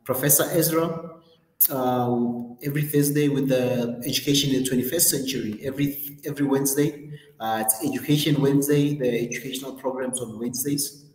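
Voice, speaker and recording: A man's voice: medium pitch, fairly even intonation, brisk, darkish tone. A man hosting a livestream, running through the week's schedule casually with lots of ums and self-corrections. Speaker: host. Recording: roomy echo with long reverb.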